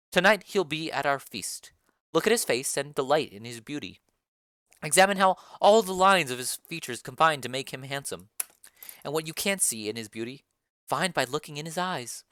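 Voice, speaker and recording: A woman voicing a stern, formal noble mother in a dramatic reading of a play, speaking in a lowered, measured voice. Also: a bit bright, dry recording, clean studio sound.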